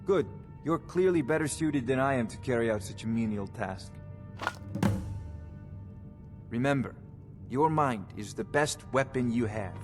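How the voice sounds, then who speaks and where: An actor playing a haughty, bookish court wizard in a fantasy game, precise and condescending. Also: male voice, medium pitch, animated, normal speed, long reverb tail, over music, close to an everyday voice.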